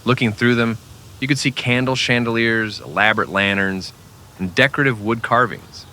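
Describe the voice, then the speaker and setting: A man narrating a scripted western audio drama as a dramatic, measured storyteller. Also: mid-range voice, sharp tone, a little hiss or hum.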